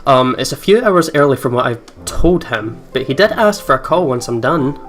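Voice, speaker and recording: Mid-pitched man reading over guitar music. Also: expressive, moderate pace, fairly bright tone. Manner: informal, giggly, sweary asides. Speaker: let's play host. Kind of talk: reading a visual novel aloud with casual reactions. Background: game's guitar music.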